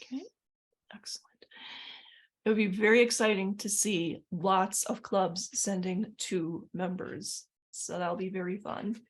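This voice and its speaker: A woman with a medium-pitched voice leads an informal training session. She explains things conversationally and offers practical suggestions in answer to questions. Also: fairly even intonation, measured pace. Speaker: workshop trainer.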